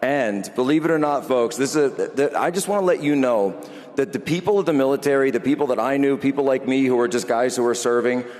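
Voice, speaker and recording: A man's voice: mid-range voice, a little fast, a little mellow. A man in his thirties interviewing on a conference stage, earnest and rambling, often correcting himself. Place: large conference hall stage with live audience. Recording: long hall reverb.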